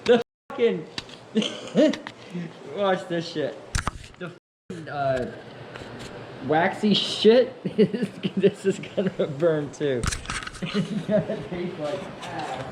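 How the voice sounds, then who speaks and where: A man's voice: sits high, lively intonation, takes it slowly, pauses often. A man chatting casually and crudely, with a giggly manner, in a workshop garage. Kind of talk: casual chat. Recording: loud noise, echoey garage.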